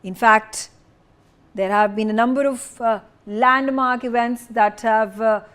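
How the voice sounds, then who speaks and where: A woman news presenter explaining in a partly ad-libbed style, with emphatic, deliberate phrasing. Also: on the high side, steady tone, a bit bright, clean tone.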